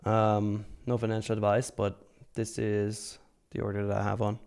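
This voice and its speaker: A man's voice: lowish voice, completely flat delivery, normal speed, gravelly, a little mellow, smooth. A man hosting a live trading stream, chatting casually and informally as he comments and answers viewers, joking and swearing freely. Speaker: live stream host, futures trader.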